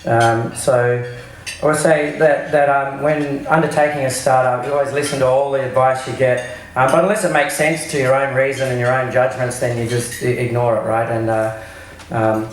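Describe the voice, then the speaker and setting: Hoarse man at a mic. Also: medium pitch, steady tone, slight background noise. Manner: halting, many ums and restarts. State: hoarse from travel. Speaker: startup CEO giving a talk. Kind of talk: conversational business talk. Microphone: microphone. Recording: very echoey room, faint clatter of dishes.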